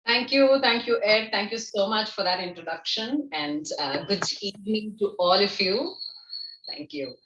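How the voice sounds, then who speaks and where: A woman with an Indian accent telling a folktale live over a video call, with expressive, deliberate phrasing. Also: mid-range voice. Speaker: storyteller. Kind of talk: live oral folktale storytelling. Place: indoors on a Zoom video call. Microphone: video call microphone. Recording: echoey, slightly dull video call audio.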